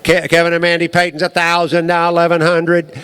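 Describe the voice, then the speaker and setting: A man working as a livestock auctioneer, rolling out a rapid rhythmic chant of repeated bid numbers and bidder names. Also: fairly high pitch, monotone, a bit bright.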